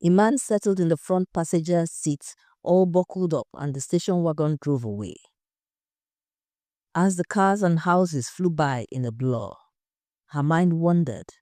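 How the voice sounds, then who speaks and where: A woman's voice: low-pitched, expressive, a little slow, warm tone, no room sound, studio quality. A woman narrating a scripted audio story.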